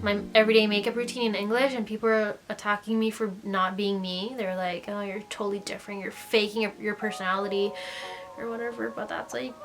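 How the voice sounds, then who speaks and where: A woman's voice: mid-range voice, flat delivery, fast. A young woman with an American accent chats casually and informally, thinking aloud as she answers viewers' questions in a vlog. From United States and Japan. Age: young adult. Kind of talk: casual Q&A chat to camera. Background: background music.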